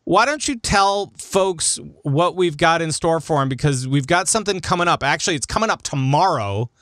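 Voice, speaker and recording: A man's voice: a little high, animated, normal speed, fairly bright tone, dry recording. Guy hosting a gaming podcast, jokey and casual, dry close sound. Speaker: podcast host.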